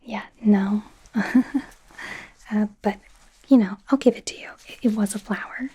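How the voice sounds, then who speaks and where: A woman plays a friendly werewolf doctor in a whispered ASMR exam roleplay. Her voice is very breathy and husky, with a soft, mellow tone. She takes her time, rambling in an eager, awkward, hesitant way. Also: mid-range voice, fairly even intonation, measured pace. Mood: teasing, curious.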